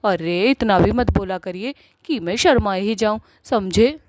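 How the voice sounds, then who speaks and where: A woman voicing a female witch in a Hindi cartoon story, coquettish and sharp-tongued. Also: mid-range voice, measured pace, close to an everyday voice. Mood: bashful. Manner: coy with her lover, scornful when crossed.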